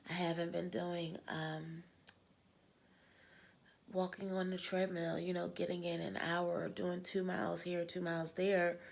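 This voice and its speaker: Middle-aged woman vlogger, low and gravelly, husky with a cold, rambling and repetitive. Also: flat delivery, a little slow. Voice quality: husky smoker's-like voice. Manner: rambling, repeats words, sighs often. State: coming down with a cold. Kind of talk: casual personal vlog talk.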